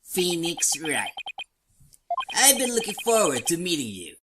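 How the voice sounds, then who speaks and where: A woman's voice: on the low side, sing-song, a little slow, slight background noise. A woman voicing a smug, sneering male prosecutor with a pompous, condescending manner in a video game fandub. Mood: smug, taunting. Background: prominent game music.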